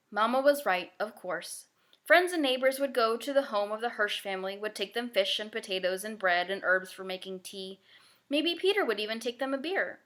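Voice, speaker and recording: A woman narrating a children's novel as a scripted, expressive read-aloud. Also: mid-range voice.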